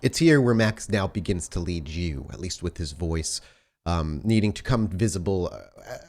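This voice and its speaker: A man narrating a tabletop role-playing game as storyteller, descriptive and deliberate, feeling his way through the scene. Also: low voice, darkish tone. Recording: music behind the voice. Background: music bed.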